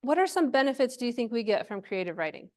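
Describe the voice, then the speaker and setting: A woman's voice: fairly high pitch, very animated, fast talker. A woman giving an informal workshop presentation to a live group, chatty and encouraging, often checking in with questions.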